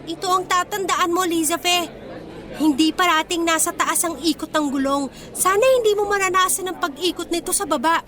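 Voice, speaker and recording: A woman's voice: extremely high pitch, moderate pace, sharp tone. A Filipino woman playing a poor, proud and kind schoolgirl in an emotional, earnest radio drama style. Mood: defiant.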